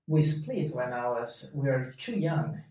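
A man in his late thirties from Brazil, speaking English, answers slowly and haltingly, often stopping to find the right word. His voice sits at an ordinary middle pitch. He is heard from well away from the mic in a very echoey space. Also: sing-song, a little mellow, far from the mic. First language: Portuguese. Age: late 30s. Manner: hesitant, halting, searches for words. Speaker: interview guest.

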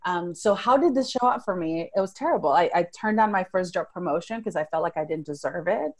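A woman from Venezuela speaks with a slightly low voice. She answers as an interview guest, earnest and reflective, with frequent restarts and "right?" tags. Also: expressive, fast. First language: Spanish. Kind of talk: personal storytelling in an interview.

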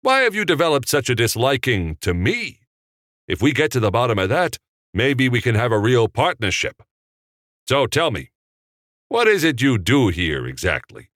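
A man voicing a short, fiery, indignant film director in an audiobook, gruff, snappy and sarcastic. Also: mid-range voice, big pitch swings, normal speed, fairly bright tone, dry recording, clean studio sound, pitched above the performer's own voice. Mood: challenging.

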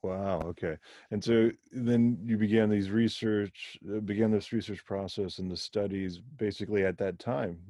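A man with a deep, dark and relaxed voice hosts a conversational interview. He is attentive, dropping in brief reactions and thoughtful questions. The sound is very dry, with no room around it. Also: moderate pace, dry recording.

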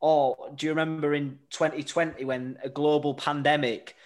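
A man's voice: a little high, level tone, moderate pace. A man of twenty co-hosting a casual podcast in a musing, good-humoured way. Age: young adult. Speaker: podcast co-host.

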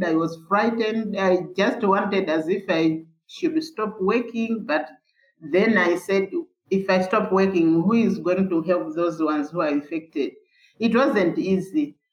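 A woman nurse from Malawi, recalling hard times in an earnest, halting interview with many fillers and restarts. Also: fairly low pitch. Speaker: palliative care nurse, interviewee. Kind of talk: candid interview recollection. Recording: echoey, dull remote recording.

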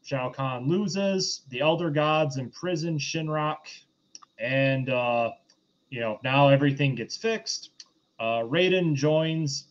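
A man co-hosting a casual recap and commentary in a sardonic way, on slightly dull lo-fi audio. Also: medium pitch. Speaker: podcast co-host recapping films.

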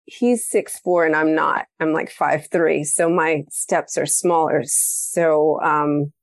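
A woman hosting a podcast, telling a story in a casual, animated way and acting out the quoted lines. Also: low-pitched, slow pace, no echo. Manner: animated storytelling, acts out quoted dialogue, laughs often. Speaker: podcast host. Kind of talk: casual conversational interview.

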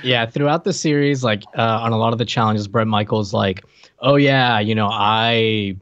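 A man's voice: lowish voice, normal speed, fairly bright tone, dry recording, lo-fi sound. A man co-hosting a podcast in casual comedic chat, informal and slangy, with an amused way of talking. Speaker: podcast co-host. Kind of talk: casual comedic chat about a reality TV show.

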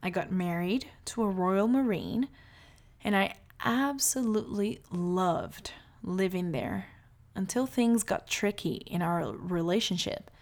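A woman in her late thirties from Brazil, calmly telling her life story in a reflective monologue. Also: mid-range voice, a little slow. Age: 30s.

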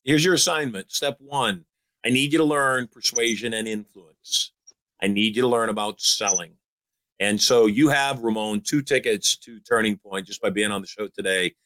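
A man's voice: fairly low pitch, normal speed, fairly bright tone, dry recording, low-quality recording. A man in his sixties giving upbeat, emphatic advice as a show host. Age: 60s. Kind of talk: motivational call-in advice.

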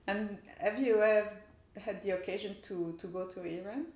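A woman interviewer speaks at a medium pitch in a room with plenty of echo. Her questions are informal and tentative, often trailing off, and phrased like a non-native speaker's. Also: fairly even intonation, moderate pace, a little mellow. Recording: echoey room.

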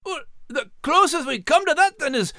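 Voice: high-pitched, expressive, moderate pace, pushed and bright, no room sound, studio quality, exaggerated voice. An actor voicing a grumbling, cheeky male Scottie dog with a Scottish accent and a blustery manner. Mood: bossy, excited. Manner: blustery, protesting, folksy. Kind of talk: scripted character acting for children's podcast.

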